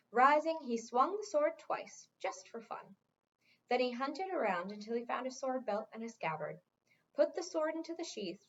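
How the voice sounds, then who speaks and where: A woman reading a fantasy novel aloud as narrated fiction. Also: on the high side. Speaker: reader. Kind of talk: audiobook-style read-aloud of a fantasy novel. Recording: dull, lo-fi sound.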